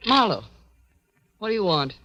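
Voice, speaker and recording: A woman's voice: mid-range voice, sing-song, normal speed, bright, forceful tone. An actress playing a guarded, defiant woman in a 1940s radio noir drama. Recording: dull, noisy old radio recording.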